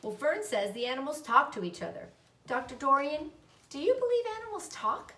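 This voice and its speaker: A fretful storybook mother, acted out by a woman reader, anxious and full of questions. Her voice sits fairly high and comes from a little way off the mic in an echoey room. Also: very animated, moderate pace, sharp tone, full character voice. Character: worried, nervous mother of a young girl. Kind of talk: children's book read-aloud. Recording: roomy echo, distant microphone.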